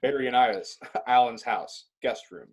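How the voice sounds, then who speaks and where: A man with a husky voice narrating a script in a clipped, descriptive reading style. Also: medium pitch, normal speed, low-quality recording.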